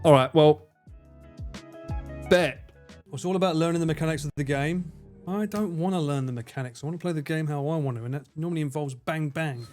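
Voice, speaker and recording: A man's voice: on the high side, brisk, a little mellow, faint music behind. Sweary, joking streamer man. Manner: sweary, jokey, talks to chat and laughs often. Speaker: livestreamer. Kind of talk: live stream chat while gaming.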